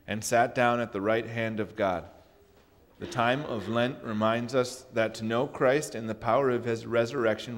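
A young man leading a worship service as pastor, moving from plain spoken notices into a measured liturgical reading, heard from a camera at the back of a large church sanctuary. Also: on the low side. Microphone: far camera at back of church. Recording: long church reverb from far off.

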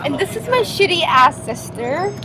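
A teenage girl vlogging on her phone inside a moving bus, loud, teasing and often laughing. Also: high voice, big pitch swings, moderate pace, over music, rough recording. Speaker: vlogger filming on a class trip. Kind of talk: goofy casual vlog chat. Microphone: phone camera held at arm's length. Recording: crowded bus with chatter. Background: chatter of other passengers.

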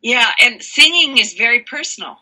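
A woman with a self-described low voice, talking slowly and expressively as an interview guest, laughing often. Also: rough recording. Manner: animated, laughs often, slow emphatic phrasing. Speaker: guest, sound healer. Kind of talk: warm expressive interview talk.

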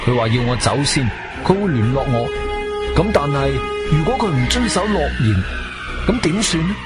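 A shrewd adventurer telling his own story in a Cantonese radio drama. His voice sits a little high for a man and swings widely with emphatic, expressive delivery. Prominent music plays behind him. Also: normal speed. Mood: gripping, suspenseful. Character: male adventurer hero, shrewd, firm, questioning investigator. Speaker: narrator and lead actor. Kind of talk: dramatic first-person audio drama narration. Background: background music.

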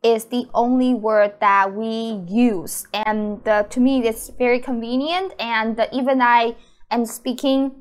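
A woman's voice: fairly high pitch, fairly even intonation, unhurried, clear, resonant tone. A woman in her thirties, a native Mandarin speaker, teaching a language lesson to camera indoors and repeating example phrases carefully. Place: small indoor room.

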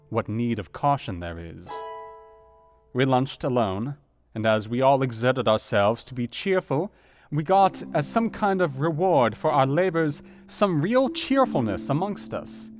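An actor playing an earnest Victorian doctor reading his letter in a radio play, in a measured, formal manner. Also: mid-range voice, close to an everyday voice. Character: earnest male Victorian doctor writing to a friend. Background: music with plucked guitar.

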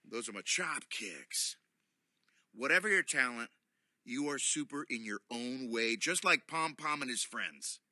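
A middle-aged man reading a children's bedtime story aloud in an animated, playful, theatrical way from a cosy studio armchair. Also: mid-range voice, unhurried, no echo.

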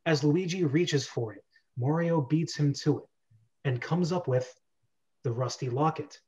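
A man's voice: on the high side, a little slow, darkish tone. A young man hosting a script reading club, reading stage directions and chatting casually. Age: young adult. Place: bedroom on a video call. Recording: dull lo-fi webcam audio.

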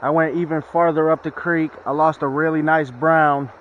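A man's voice: fairly high pitch, little pitch movement, moderate pace. A man chatting casually to viewers as a fishing vlogger, recorded outdoors on a body-worn action camera. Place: outdoors at a creek and under a bridge. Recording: dull action-camera sound, noticeable outdoor noise.

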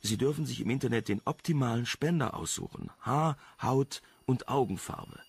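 Male reporter, teasing and wry, gravelly, cheeky, radio play dialogue. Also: medium pitch, moderate pace. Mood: smug, chatty. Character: flirtatious, cheeky male reporter, chatty and smug. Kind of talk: German radio crime drama acting.

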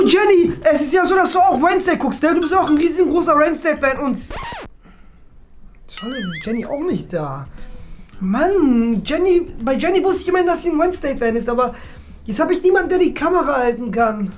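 A young man with a strikingly high voice plays up every line in a casual comedy skit. His delivery is exaggerated and expressive. Faint music runs behind him. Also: normal speed. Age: 20s. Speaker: skit performer in a shared-flat video series. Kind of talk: playful improvised comedy skit. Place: small indoor rooms of a flat.

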